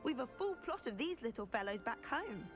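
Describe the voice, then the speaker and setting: Teenage witch student, very high voice, lilting, friendly, chatty, proper, game dialogue. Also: sing-song, normal speed, faint music behind. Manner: cheerful, proper.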